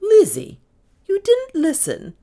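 A woman as older household mistress, no-nonsense, decisive, crisp, imperious, highly animated intonation, audiobook character. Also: unhurried, full character voice. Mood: imperious, sharp.

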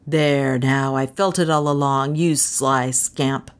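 A woman voicing a big, suspicious, self-satisfied policeman with a gruff, folksy way of talking in a storybook. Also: rumbling low, fairly even intonation, slow, pitched below the performer's own voice, heavily acted. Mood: gruff, triumphant. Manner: folksy, colloquial, gloating.

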